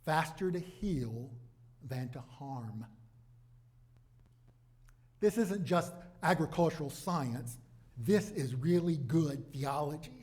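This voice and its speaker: A man preaching a sermon as a pastor, in deliberate, emphatic phrases broken by dramatic pauses. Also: a little high, expressive, a little mellow.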